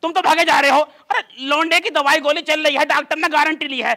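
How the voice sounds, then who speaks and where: A man playing a hot-tempered, blustering village father in a comic cartoon skit, with a rural western Uttar Pradesh accent and a pitched-up voice. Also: steady tone, a little fast, sharp tone, heavily acted. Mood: pleading, earnest. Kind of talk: comic skit acting in rustic dialect.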